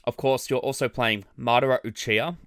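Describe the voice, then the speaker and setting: A man's voice: medium pitch, fairly even intonation, a little fast, fairly bright tone, clear, resonant tone, no echo, clean studio sound. An Australian man hosting a casual interview with a guest. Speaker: interview host.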